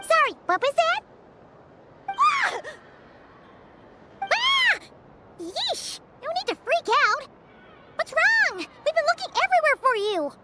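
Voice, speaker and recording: A woman voicing a small, cheeky, excitable childlike fairy companion in a video game, with a squeaky voice. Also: slow, pauses often, exaggerated voice. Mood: startled. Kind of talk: video game character acting. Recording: music behind the voice.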